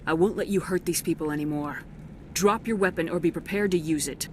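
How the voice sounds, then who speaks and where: An actress playing a firm, commanding military commander in a science fiction video game. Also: female voice, fairly low pitch, a little fast, darkish tone, slight background noise, lightly acted. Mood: stern. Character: resolute woman military commander in space. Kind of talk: dramatic video game acting.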